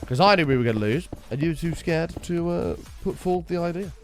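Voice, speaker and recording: A man streaming a game, chatting casually and wryly with his viewers while his voice is hoarse and sore. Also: fairly high pitch, lively intonation, a little fast, soft background music. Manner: bantering, wry, teasing chat members.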